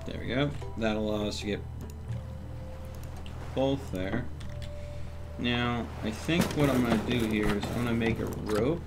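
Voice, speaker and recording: A man's voice: fairly low pitch, unhurried, gravelly, pauses often, over music. Man thinking aloud on a game stream. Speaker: game livestreamer, host. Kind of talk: casual live game commentary, chatting with viewers.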